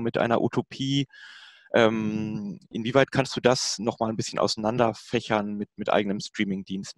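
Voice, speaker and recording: A man's voice: on the low side, no echo. A man moderating a panel discussion over a video call, asking long questions with many fillers and restarts.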